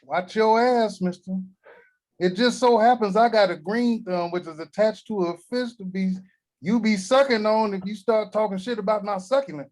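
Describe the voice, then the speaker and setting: A man's voice: high voice, moderate pace, a little mellow, close to an everyday voice. A man reading the part of a crotchety elderly family patriarch at a table read, brash and trash-talking. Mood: threatening.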